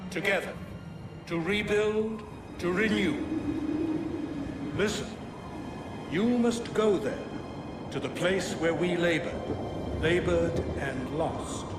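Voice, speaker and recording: A man playing an ancient, solemn, weary godlike being in a video game cutscene, speaking formally and with portent. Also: on the high side. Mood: grave, warning. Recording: echoey voice under loud music.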